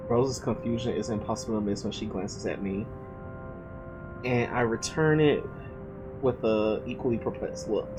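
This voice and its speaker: A man's voice: fairly low pitch, normal speed, low-quality recording. A man hosting a visual novel let's play, reading the narration aloud and speaking in an informal, casual way. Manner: informal, slangy asides between read passages. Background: loud game music.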